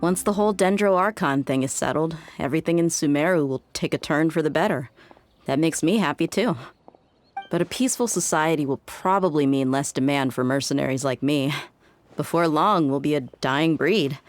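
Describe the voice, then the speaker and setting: A woman's voice: low-pitched, moderate pace, close to an everyday voice. A woman playing a tough, easygoing and bold desert mercenary in a video game, speaking in a casual, self-assured way. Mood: reassuring.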